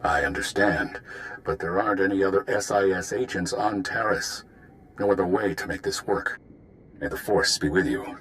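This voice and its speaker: An actor playing a sober spy commander in a video game, giving orders in a clipped, official manner. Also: male voice, deep voice, moderate pace, fairly bright tone, a little hiss or hum. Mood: grave, businesslike. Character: sober, authoritative male spy base commander. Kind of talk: video game cutscene acting.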